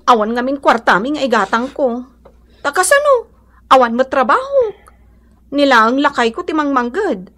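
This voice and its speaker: High, plaintive Ilocano housewife. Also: female voice, sing-song, normal speed, a bit bright. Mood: defensive. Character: poor, envious Filipino wife and mother, sighing. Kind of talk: Ilocano radio comedy drama acting.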